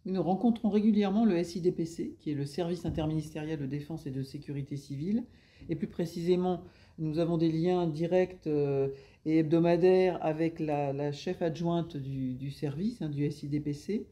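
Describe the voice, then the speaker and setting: A woman's voice: low-pitched, normal speed, dark tone. A woman explaining her public service work in interview answers, with formal administrative phrasing.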